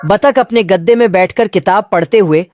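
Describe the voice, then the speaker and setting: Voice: medium pitch, normal speed, a little mellow, faint music behind. A narrator telling a comic cartoon recap from a script in colloquial, slangy storytelling.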